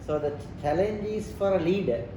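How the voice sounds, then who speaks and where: A man with a Sri Lankan accent explains things step by step in simple non-native English, circling back over his phrases. His voice sits a little high for a man. It is picked up from some distance in a roomy space with clear background noise. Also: lively intonation, brisk. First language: not English. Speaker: interviewee explaining leadership and culture. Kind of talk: informal explanatory teaching talk.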